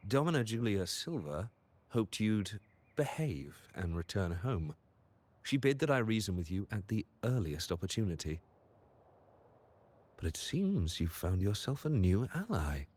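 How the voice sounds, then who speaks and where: An actor voicing a sly, money-loving manager in a video game, with theatrical, mocking formality and a condescending air. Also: male voice, on the low side, very animated, unhurried, darkish tone. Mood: mocking, suave.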